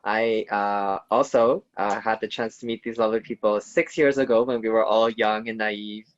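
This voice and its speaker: A man's voice: fairly low pitch, steady tone, normal speed, no room sound, muffled recording. A young man from Canada chatting casually on a video call, hesitant with many fillers and restarts. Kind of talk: casual group chat.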